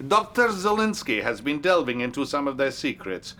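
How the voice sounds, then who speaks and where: A man playing a grandiose, smoothly flattering Soviet premier with a Russian accent in a video game cutscene. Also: mid-range voice, a little hiss or hum. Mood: pompous, pleased. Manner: slow, pompous oratory. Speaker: video game character actor. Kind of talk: dramatic game cutscene acting.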